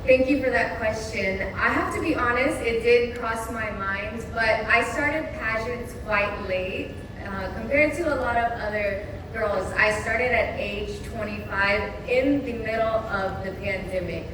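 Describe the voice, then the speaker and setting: A woman's voice: a little high, measured pace. A woman in her twenties from Texas, a pageant titleholder answering press questions in a poised, candid way. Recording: echoey hall, distant mic, noisy.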